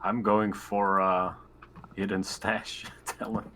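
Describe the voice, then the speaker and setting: A man's voice: lowish voice, little pitch movement, slow, a little hiss or hum. A man chatting and joking casually as a player in a tabletop roleplaying game. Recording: loud overlapping crowd of voices.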